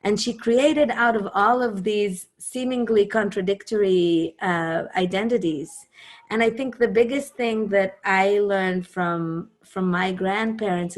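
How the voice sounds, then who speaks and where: Hebrew-speaking Israeli woman musician, halting. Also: mid-range voice. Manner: warm, searching, many ums and restarts. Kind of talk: casual talk on a video call. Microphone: video call.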